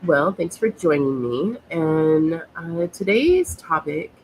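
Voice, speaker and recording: A woman hosting a talk show while she has a cold, sounding a little snuffly and speaking haltingly with many fillers and pauses. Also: low-pitched, expressive, husky, rough recording. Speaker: host. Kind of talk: casual chat.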